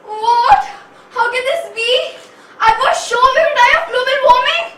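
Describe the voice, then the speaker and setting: A woman's voice: very high-pitched, unhurried, fairly bright tone. A woman playing a vain panicky mayor. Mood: panicked. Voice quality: melodramatic, wailing. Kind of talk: amateur stage play. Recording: echoey hall, far from mic, faint noise.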